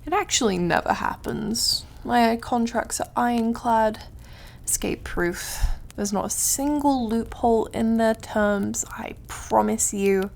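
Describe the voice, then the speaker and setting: A woman's voice: fairly high pitch, a little slow, vocal fry, darkish tone. A woman voicing a suave, condescending devil in an ASMR roleplay, drawling and over-polite in a theatrical, taunting way. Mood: busy, haughty. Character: suave, mocking, condescending devil who deals in soul contracts.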